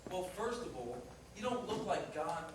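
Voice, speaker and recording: A man's voice: high-pitched, very animated, normal speed. A man playing a skeptical, easygoing, plainspoken cab driver in a live staged play reading. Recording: noisy, very echoey hall, distant mic.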